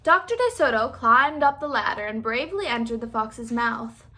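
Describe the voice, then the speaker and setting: Woman reading kids storybook out loud, high bright voice, expressive narrator. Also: moderate pace. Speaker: children's storybook reader. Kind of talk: expressive read-aloud narration.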